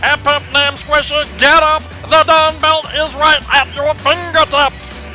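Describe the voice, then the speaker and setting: A man's voice: very high-pitched, level tone, unhurried, pushed and bright, faint music behind, exaggerated voice. A man voicing an earnest cartoon wrestler's supporter, humming and speaking in sing-song rhymes. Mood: urgent. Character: earnest, rhyming male supporter of a bear wrestler. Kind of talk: scripted cartoon acting.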